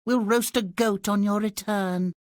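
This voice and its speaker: A man's voice: high-pitched, fairly even intonation, measured pace, dry recording, studio quality. A man voicing a sweet, long-suffering young maiden in a fairy tale video game, dainty and matter-of-factly polite. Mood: sweet, blithe.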